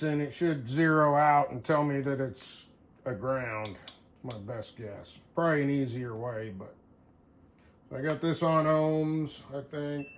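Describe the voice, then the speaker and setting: Male video host, somewhat higher-pitched voice, informal hands-on show-and-tell, rambling and thinking out loud.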